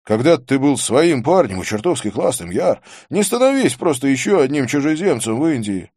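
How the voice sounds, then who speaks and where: A man's voice: medium pitch, sing-song, moderate pace, darkish tone, no echo, clean studio sound, voice pushed up. A man voicing a bitter, defiant drug addict in an audiobook, evasive, defensive and needling. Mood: bitter, challenging. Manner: defensive, challenging. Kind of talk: audiobook character voice.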